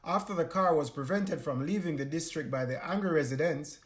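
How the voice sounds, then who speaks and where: A man's voice: on the high side, brisk. A Jamaican man reading a scripted news report as a reporter.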